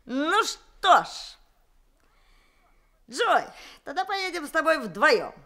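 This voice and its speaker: A woman's voice: sits high, sing-song, takes it slowly, pushed and bright, full character voice. A woman voicing a cheerful teenage boy who teases his friend in an old radio play. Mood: playful. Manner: playful, laughing. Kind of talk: 1960s Soviet radio drama acting.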